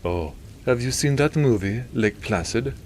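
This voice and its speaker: Male reader playing a wry storybook priest, composed and gently teasing, voice lifted, light rain noise underneath. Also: medium pitch, animated, measured pace, voice pushed up, close to an everyday voice. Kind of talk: audiobook character dialogue.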